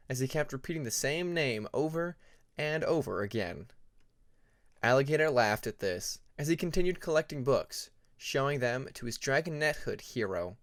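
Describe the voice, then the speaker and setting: A man's voice: mid-range voice, dry recording, studio quality. A man reading a scripted story as an audiobook narrator.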